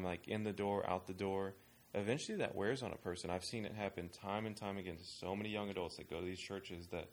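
Low-voiced man talking things through. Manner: thoughtful, rambling with restarts. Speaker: ministry worker advising pastors on young adults. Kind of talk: casual panel discussion.

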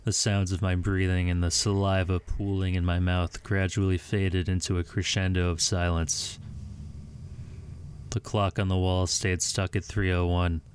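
A young man playing a haunted, sleepless man in confinement, narrating an audio drama in a deliberate, literary and grave manner over a noisy home recording. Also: low voice, flat delivery. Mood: weary, haunted. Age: young adult. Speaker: student voice actor and narrator. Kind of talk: scripted first-person audio drama narration.

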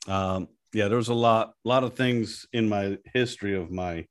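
A man with a deep voice talking in a casual interview, an animated and self-deprecating storyteller. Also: normal speed, clean tone. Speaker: guest, app founder.

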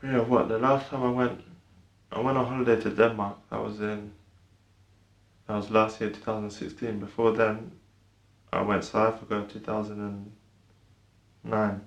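A young man who was born in Ireland and raised in the UK, a podcast guest talking in a soft, hesitant way with lots of fillers. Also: lowish voice, flat delivery, normal speed, pauses often, roomy sound. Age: young adult. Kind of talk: casual conversation.

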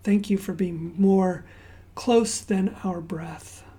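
A woman raised in Zambia preaching at an unhurried pace, with a mellow, warm tone. Her prayer and stories alternate between relaxed, chatty asides and soft, hushed near-whispers. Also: mid-range voice. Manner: conversational storytelling with fillers and asides. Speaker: pastor. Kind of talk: sermon with opening prayer.

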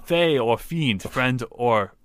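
A man's voice: mid-range voice, lively intonation, slow, no room sound. A man voicing a huge, blunt, wary foreigner who speaks in terse, broken phrases, in a tabletop game.

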